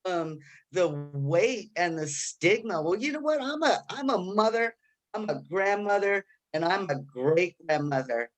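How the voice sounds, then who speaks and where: A woman in her fifties speaking loudly and forcefully on a conference panel. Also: fairly low pitch, lively intonation, a little slow. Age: 50s. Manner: loud, forceful, emphatic. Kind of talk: panel discussion.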